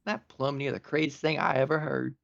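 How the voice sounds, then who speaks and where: A man's voice: on the high side, animated, brisk, creaky, a bit bright. A man voicing a stern but fair female farm pony who speaks with folksy country phrasing, on a dull lo-fi mic.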